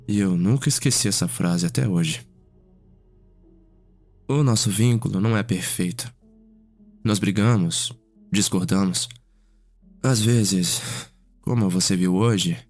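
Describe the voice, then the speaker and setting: Male, low voice, ASMR roleplay as Cedric-type vampire noble: reflective, unhurried storytelling over music. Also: darkish tone.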